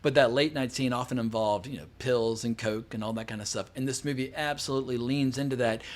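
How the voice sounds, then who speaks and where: A middle-aged man talks through a film review with loose, chatty enthusiasm, at an average pitch. He swears freely to punch up his points and wanders into long tangents full of fillers. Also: fairly even intonation, a little fast. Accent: non-local, not New York. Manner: enthusiastic and profane, swearing for emphasis.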